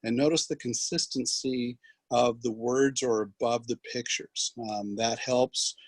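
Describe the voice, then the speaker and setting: A man giving an instructional software demonstration as a trainer, explaining in a halting way. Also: mid-range voice, fairly even intonation, no echo. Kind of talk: instructional screen demonstration, loosely scripted.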